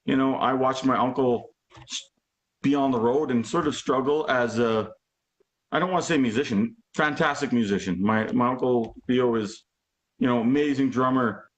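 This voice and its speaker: A man's voice: mid-range voice, moderate pace. Rambling man in his forties. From Alberta, Canada. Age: 40s. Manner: rambling, full of "you know" and "like". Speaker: guest drummer. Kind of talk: casual chat. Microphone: video call.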